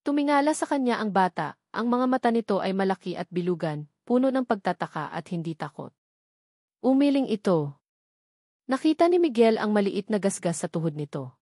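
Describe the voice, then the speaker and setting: A woman's voice: mid-range voice, sing-song, brisk, darkish tone, no room sound, clean studio sound. A woman with a Filipino accent narrating a scripted dramatic love story as an audio drama.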